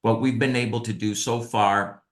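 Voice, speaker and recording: A man presenting at an online panel as a university representative, speaking haltingly with many fillers. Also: on the low side, steady tone, reverberant.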